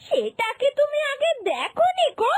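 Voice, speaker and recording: A woman's voice: very high-pitched, huge pitch swings, normal speed, exaggerated voice. A woman voicing a worried, disapproving mother-in-law in a moral-story cartoon, with plaintive, fretful questioning.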